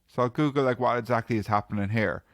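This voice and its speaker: A man's voice: mid-range voice, quick pace, no room sound. A young Irish man chatting casually with friends on a podcast, joking and laughing as he tells stories. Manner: jokey, banters with friends, laughs while telling stories. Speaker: podcast co-host. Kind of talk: casual chat.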